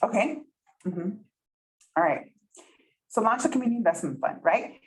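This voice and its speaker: Lively chatty woman leading a workshop. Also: medium pitch, moderate pace, poor audio. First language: Spanish. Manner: chatty, often asks "right?" to check in. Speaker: workshop facilitator. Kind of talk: interactive workshop teaching. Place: meeting room, with some people online.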